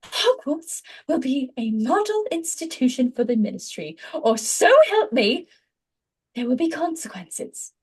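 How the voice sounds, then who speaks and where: A woman's voice: high voice, sing-song, unhurried, darkish tone, breathy edge, voice pushed up, exaggerated voice. A woman voicing a prim, authoritarian female government official, stern and theatrical, with barely contained fury. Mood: stern, indignant. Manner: stern, clipped, girlishly prim proclaiming. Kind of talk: theatrical villain monologue.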